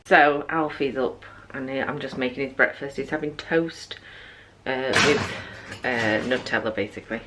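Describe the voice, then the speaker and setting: Croaky, low-voiced woman vlogging at home. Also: normal speed, a bit bright, a little hiss or hum, poor audio. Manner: rambling with many fillers. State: croaky just-woken voice. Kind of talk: casual morning vlog chat. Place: family home kitchen.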